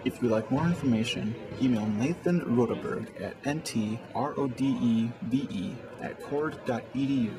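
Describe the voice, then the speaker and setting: A man's voice: mid-range voice, moderate pace, hardly any pauses, music in the background. A man reporting for college radio, delivering a scripted news piece.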